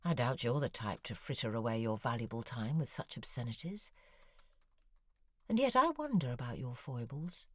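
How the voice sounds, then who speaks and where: An actress playing a wry, self-deprecating middle-aged novelist in an audio drama, articulate and musing, with a husky, breathy voice. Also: female voice, very deep voice, big pitch swings, normal speed, darkish tone, dry recording, heavily acted. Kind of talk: audio drama acting, intimate spoken correspondence.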